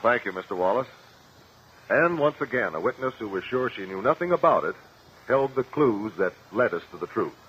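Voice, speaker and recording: An actor playing a shrewd, confident courtroom investigator in a 1950s radio drama, speaking formally and precisely. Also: male voice, mid-range voice, lively intonation, moderate pace, natural voice. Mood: cordial. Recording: muffled, noisy old radio recording.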